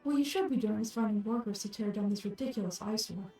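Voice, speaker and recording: A woman's voice: mid-range voice, fast talker, warm tone, soft background music, close to an everyday voice, rough recording. A woman voicing a stern, haughty scholar-official in a fantasy game, speaking in a formal, pompous and clipped manner. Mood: contemptuous.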